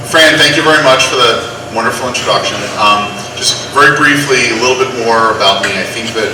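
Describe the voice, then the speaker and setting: A man on a seminar panel, a lawyer, introducing himself briskly. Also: lowish voice, noisy background. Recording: echoey room from far off.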